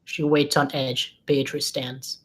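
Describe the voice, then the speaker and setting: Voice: medium pitch, level tone, slow, breathy edge. A narrator reading scene descriptions and stage directions from a screenplay at a table read.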